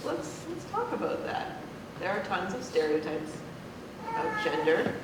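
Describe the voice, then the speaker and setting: Panel moderator asking questions, far from the mic, loud room noise. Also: mid-range voice, huge pitch swings, measured pace, heavy reverb. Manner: casual, asks open questions.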